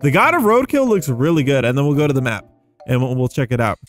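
A man's voice: medium pitch, big pitch swings, fast talker, soft background music. A young adult man giving casual, rambling gameplay commentary, easily amazed and thinking aloud as he hosts. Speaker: gaming video host.

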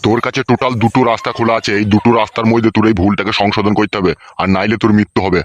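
Cartoon village elder, acted by a man: stern, foul-mouthed, commanding, very quick delivery, rural West Bengali accent. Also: fairly low pitch, steady tone, dull audio, lightly acted. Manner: bullying, commanding, rapid. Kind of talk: cartoon dialogue.

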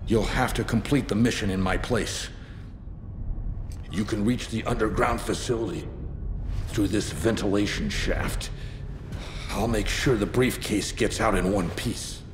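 An actor playing a secretive, authoritative special-forces commander in a dramatic video game cutscene. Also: male voice, lowish voice, unhurried, long pauses, noisy background, natural voice. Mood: weary, resolute. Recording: echoey, long reverb, music behind.

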